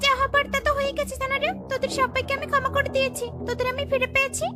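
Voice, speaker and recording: A woman acts out a mother duck in a cartoon, very high in pitch. She is loving and sorrowful, with a plaintive delivery. Loud children's music sits behind the voice. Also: level tone, racing. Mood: tender, forgiving.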